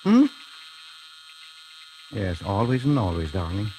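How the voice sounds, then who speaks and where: An actor playing a meek, nervous husband with a hesitant, stammering delivery in 1940s radio drama style. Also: male voice, on the low side, very animated, slow pace, dark tone, a little hiss or hum. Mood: affectedly doting. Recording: 1940s radio recording with music.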